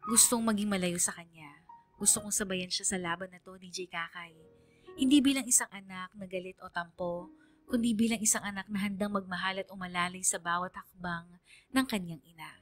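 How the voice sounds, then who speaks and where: A devoted teenage daughter, tells her own story in a mid-pitched voice. The narration is earnest and heartfelt with dramatic pauses, over a musical bed in radio drama style. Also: sharp tone. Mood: resolute, tender. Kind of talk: first-person radio drama letter narration.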